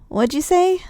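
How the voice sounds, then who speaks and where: A woman playing an affectionate, drowsy, playful wife in an intimate ASMR roleplay, speaking softly and teasingly. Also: high-pitched, dry recording, studio quality, full character voice. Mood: drowsy, chatty. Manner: soft, affectionate, teasing, with sighs and giggles. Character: affectionate, drowsy, playful wife cuddling after a big dinner. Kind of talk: ASMR roleplay, intimate one-sided dialogue.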